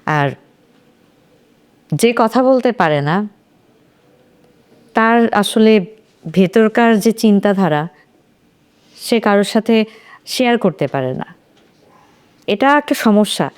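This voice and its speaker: A woman giving thoughtful opinions in a literary discussion, explaining in short phrases with pauses. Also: mid-range voice, a little mellow, smooth. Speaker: panelist.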